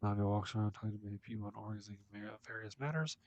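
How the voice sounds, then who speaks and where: A man's voice: fairly low pitch, rapid-fire, darkish tone, highs cut off, a little hiss or hum. A man doing a casual game playthrough, reading dialogue aloud in a plain, offhand way. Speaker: gameplay streamer. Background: game music.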